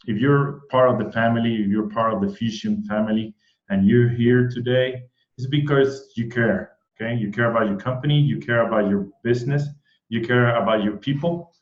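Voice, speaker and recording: Excitable man with a Puerto Rican accent. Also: mid-range voice, reverberant, highs cut off. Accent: Puerto Rican Spanish. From Puerto Rico. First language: Spanish. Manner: excitable, repeats words and restarts often. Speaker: host. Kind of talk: casual online event hosting.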